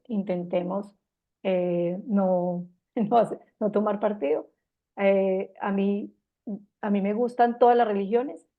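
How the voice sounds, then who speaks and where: Gravelly Medellín woman in her fifties. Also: mid-range voice, narrow, tinny audio. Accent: Colombian, Medellín. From Colombia. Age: 50s. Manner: gentle, with frequent pauses and restarts. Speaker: host giving a personal talk. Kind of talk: reflective personal talk to an audience.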